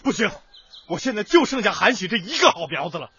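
A man's voice: high-pitched, sing-song, fast, bright, forceful tone, husky, heavily acted. A man in his twenties playing an earnest, frustrated village schoolteacher in a radio drama, speaking emotively. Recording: dull lo-fi recording, faint background noise.

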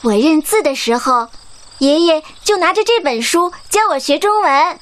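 Very high-voiced sweet young girl. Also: animated, moderate pace, a bit bright. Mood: shy, grateful. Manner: polite, childlike, earnest. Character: sweet, grateful, eager young African girl. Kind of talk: Chinese radio drama acting.

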